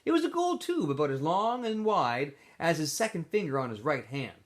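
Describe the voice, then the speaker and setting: A man reading a storybook aloud to students in an animated, expressive way, with casual asides to his listeners. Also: on the high side, normal speed.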